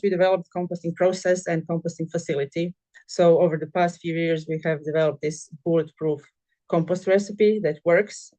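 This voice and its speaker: A middle-aged woman from Croatia with a Croatian accent gives an informal presentation of her own work, pausing and searching for words as she goes. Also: on the low side, dark tone. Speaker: compost practitioner and agricultural school project manager, presenting.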